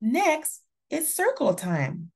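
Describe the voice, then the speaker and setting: A woman reading a children's picture book aloud in a deliberate, expressive storytelling manner. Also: high voice, creaky. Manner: slow, deliberate, expressive delivery for young children. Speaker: children's story reader and channel host.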